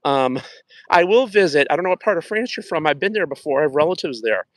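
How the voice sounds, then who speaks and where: A man's voice: fairly high pitch, expressive, quick pace, no echo. Chatty man livestreaming on a walk. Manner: chatty, reflective, greets viewers warmly by name. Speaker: wellness livestream host. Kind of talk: casual livestream talk while walking. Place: outdoor track at night.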